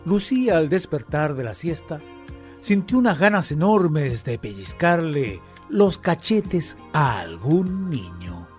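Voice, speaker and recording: A man's voice: fairly high pitch, sing-song, slow, faint music behind. A man with a Chilean accent narrating a radio story in a dramatic, scripted style.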